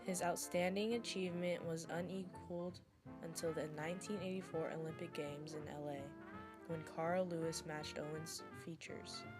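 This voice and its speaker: A woman's voice: on the low side, steady tone, moderate pace. A young woman presenting a sports segment as scripted narration, with music behind her.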